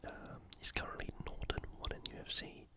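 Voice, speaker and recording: British ASMR host in a breathy whisper. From United Kingdom. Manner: soft, hushed, unhurried delivery. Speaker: video host. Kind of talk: ASMR sports preview.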